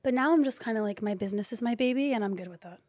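A woman in her thirties, a guest in a candid podcast conversation, talking animatedly with laughs and the odd curse, and dropping to a hush now and then. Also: fairly high pitch, rapid-fire. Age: 30s. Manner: animated, swearing, laughing, shifting from loud to hushed. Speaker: guest, community leader and business owner.

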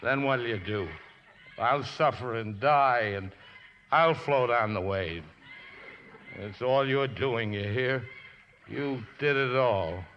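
An actor playing a rough, hard-drinking, uneducated riverboat skipper who blusters and whines in folksy, ungrammatical talk, in a 1950s radio drama. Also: male voice, mid-range voice, slow pace, long pauses, lightly acted. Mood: hungover misery. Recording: noisy, dull 1950s radio recording.

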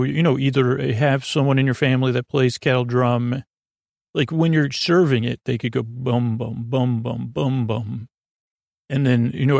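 A man hosting a sleep podcast in a casual, meandering monologue, halting and repeating himself as he drifts into tangents. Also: mid-range voice, gravelly, no echo, clean studio sound. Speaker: podcast host.

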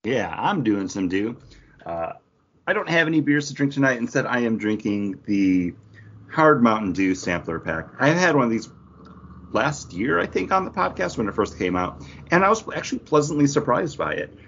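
A man co-hosting a podcast in a casual, chatty style, with a husky voice. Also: medium pitch, big pitch swings, moderate pace, fairly bright tone, slight background noise. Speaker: podcast co-host. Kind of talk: casual chat. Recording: dull lo-fi audio.

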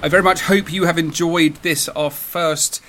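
A man's voice: fairly high pitch, fairly even intonation, moderate pace, bright, forceful tone, a little hiss or hum. Man narrating history. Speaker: podcast host and narrator.

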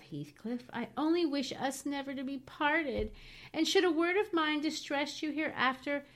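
A woman's voice: on the high side, a bit bright, voice pushed up. A woman voicing a passionate, reproachful dying young woman in a dramatic novel reading, emphatic and pleading.

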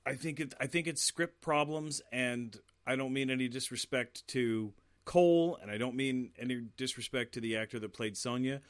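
A man in a relaxed film review chat, talking bluntly and swearing freely. His voice sits at an ordinary middle pitch, recorded dry and close with no room sound. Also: normal speed, few pauses, clear, resonant tone, no room sound. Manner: blunt, swears a lot, laughs often. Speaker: movie review podcast co-host. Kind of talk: casual profane chat.

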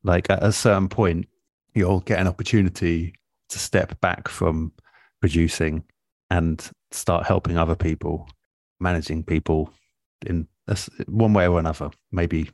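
A man speaking as an interviewed radio producer in a relaxed, reflective way, thoughtful and measured, often pausing mid-phrase. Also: sits low, no echo.

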